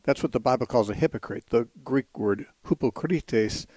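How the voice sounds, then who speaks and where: A middle-aged man hosting a call-in radio show on the Bible, thoughtful, restarting sentences, briskly moving callers along. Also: medium pitch, warm tone, dry recording. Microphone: studio microphone.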